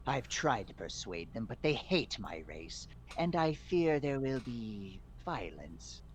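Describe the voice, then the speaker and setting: A man's voice: mid-range voice, big pitch swings, takes it slowly, a little hiss or hum. A man voicing a wary, formal male lizard-folk traveler asking a stranger for help. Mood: earnest worried.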